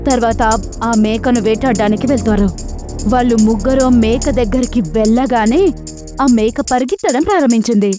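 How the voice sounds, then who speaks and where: High-voiced woman narrating a story. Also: brisk, music in the background.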